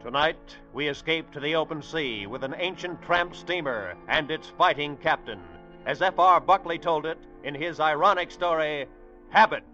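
Casting: male old-time radio announcer, slightly high voice, dramatic introductions, muffled recording under loud music. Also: moderate pace. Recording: dull vintage radio recording.

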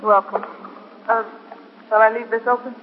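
A man's voice: high-pitched, level tone, moderate pace, narrow, tinny audio, lightly acted. A man playing an exasperated hospital doctor in a 1950s radio drama. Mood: irritated. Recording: thin, very noisy 1950s radio recording.

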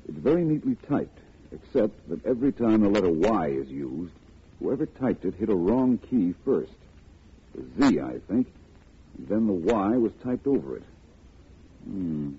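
A composed private detective from an old radio drama, with a low voice. He is courteous, precise and analytical, heard on a muffled vintage broadcast with light hiss. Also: very animated. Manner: measured, precise, formal. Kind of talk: 1940s radio drama acting.

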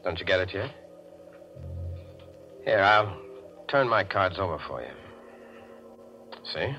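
An actor playing a sly, mocking tough guy in a 1940s radio crime drama, taunting and coolly menacing in slangy, deliberate speech. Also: deep voice, lively intonation, soft background music. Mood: gloating, menacing. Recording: dull vintage radio recording.

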